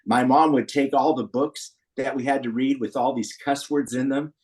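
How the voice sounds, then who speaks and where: An American man in his sixties speaks as a guest on a podcast. His voice sits at an average pitch, and he recounts personal history in an earnest, anecdotal manner. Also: fairly even intonation, normal speed, darkish tone. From Berkeley, California, USA. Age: 60s. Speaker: podcast guest, founder of a men's community group. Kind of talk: personal storytelling in a podcast interview.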